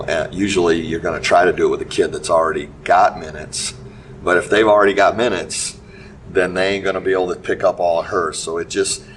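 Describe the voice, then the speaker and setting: Man, very low voice, halting and full of fillers, distant mic, faint background hum. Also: a bit bright. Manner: halting, many restarts and fillers. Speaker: high school basketball coach. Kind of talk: post-game interview.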